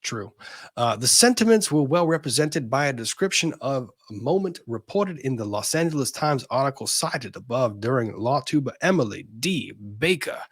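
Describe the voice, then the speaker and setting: Husky man reading aloud theatrically. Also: medium pitch, big pitch swings, normal speed, no echo, clean studio sound. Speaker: host of a legal commentary show. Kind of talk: dramatic reading of a lawsuit with brief asides.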